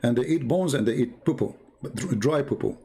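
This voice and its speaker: A man who is not a native English speaker gives informal, mocking commentary as he retells and reads out stories, in a halting, repetitive way. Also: medium pitch, gravelly, mellow. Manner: sardonic, mocking. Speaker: commentator presenting religious texts. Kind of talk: informal polemical commentary, retelling and reading aloud.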